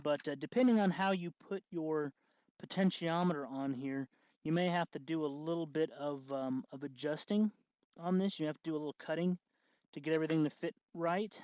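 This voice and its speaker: Higher-voiced man explaining, dull dry recording. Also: smooth, no room sound. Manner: halting, self-correcting. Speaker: host of a DIY costume electronics tutorial. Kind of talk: casual unscripted tutorial talk.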